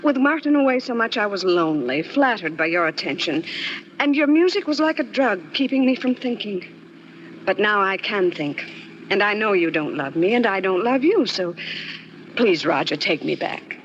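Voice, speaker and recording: An earnest woman's voice with very mobile pitch acted by a woman plays a troubled, guilty lover in vintage radio drama. She pleads emotionally. The recording is muffled, with faint noise. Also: lively intonation, normal speed, natural voice. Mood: pleading resolve. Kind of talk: 1940s radio drama acting.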